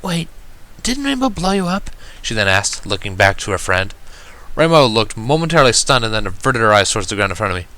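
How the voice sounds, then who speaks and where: Guy reading fanfic out loud, lowish voice, really animated, bright sharp sound. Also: quick pace. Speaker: narrator, fan fiction reader. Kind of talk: expressive amateur story narration.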